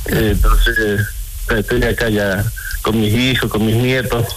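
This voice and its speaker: A man's voice: fairly low pitch, level tone, unhurried. A man in his sixties with an Argentine accent, chatting casually as a caller and repeating words as he explains. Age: 60s. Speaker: radio caller, builder. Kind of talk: casual phone-in chat. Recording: noisy radio phone-in call.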